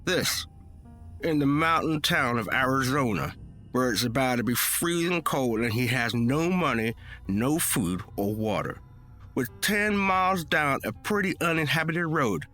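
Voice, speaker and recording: A man narrates a personal story in a bright, forceful voice that moves up and down a lot. He tells it conversationally and with emphasis, taking his time, with music playing underneath. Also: mid-range voice. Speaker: story reader. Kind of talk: dramatic first-person storytelling, colloquial scripted narration.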